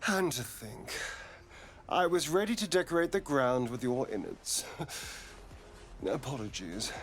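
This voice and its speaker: An actor playing a suave, haughty vampire elf rogue in a video game, speaking in an arch, theatrical drawl. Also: male voice, medium pitch, expressive, slow, fairly bright tone, soft background music. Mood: smug, courteous. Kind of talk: video game character acting.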